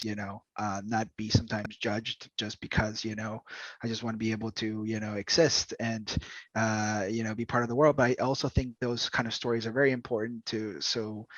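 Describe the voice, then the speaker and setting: A man's voice: on the low side, no echo. A man from Ecuador talking casually as an author on a panel, rambling and tentative, through a dull microphone.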